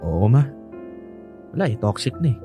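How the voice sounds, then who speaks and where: A man's voice: medium pitch, big pitch swings, moderate pace, natural voice. Actor doing bitter young guy, protective son in a radio drama, heated and insistent, bit of music behind. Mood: weary, frustrated.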